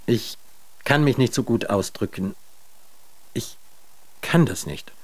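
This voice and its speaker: A man's voice: mid-range voice, expressive, takes it slowly. An actor in a German radio drama playing a weary, stubborn man full of self-doubt, groping for words. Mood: weary, halting.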